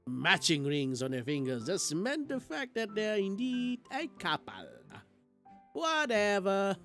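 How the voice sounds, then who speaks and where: A man reading a visual novel aloud on a livestream in an expressive, theatrical way, as a game streamer. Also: high-pitched, measured pace. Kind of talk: livestream playthrough, dramatic read-aloud of visual novel narration. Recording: music playing behind the voice. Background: game music.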